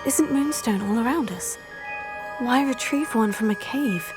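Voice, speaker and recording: A polite young witch from a fantasy game, composed and curious. Her voice sits slightly high, with faint music behind it. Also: lively intonation, unhurried. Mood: curious, reasoning. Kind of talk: video game dialogue acting.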